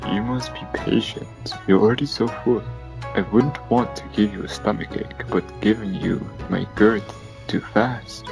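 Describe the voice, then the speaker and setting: A man voicing a patient, seductive male lover who speaks formally and deliberately, in an amateur fan fiction reading. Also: lowish voice, fairly even intonation, gravelly, music in the background, rough recording.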